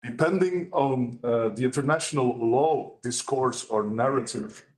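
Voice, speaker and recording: A man, a law professor and author, giving a formal academic presentation in a measured way with frequent pauses. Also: medium pitch, darkish tone, low-quality recording.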